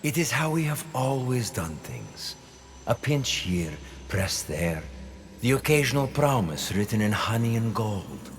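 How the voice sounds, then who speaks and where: An actor playing a cold, grandiose scheming conspirator in a video game, speaking in a measured, theatrical, eloquent way. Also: male voice, medium pitch, big pitch swings, a little hiss or hum. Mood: smug, persuasive. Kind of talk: dramatic video game cutscene acting.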